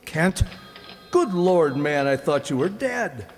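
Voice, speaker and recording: A man playing an excitable, gruff newspaper managing editor in old-time radio style, barking incredulous exclamations. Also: a little high, takes it slowly, long reverb tail, soft background music, heavily acted. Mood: agitated, incredulous.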